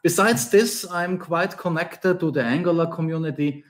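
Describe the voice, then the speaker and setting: A man from Austria with an Austrian German accent, a conference speaker explaining software approaches in an explanatory, repetitive way with non-native phrasing. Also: fairly high pitch, moderate pace. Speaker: conference speaker, Angular trainer and consultant. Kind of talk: conference talk.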